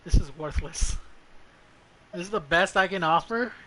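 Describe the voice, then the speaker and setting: A man's voice: sits high, a little slow, sharp tone. A man giving casual gameplay commentary as a streamer, blunt and foul-mouthed, often muttering to himself. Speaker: game streamer. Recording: clearly noisy background.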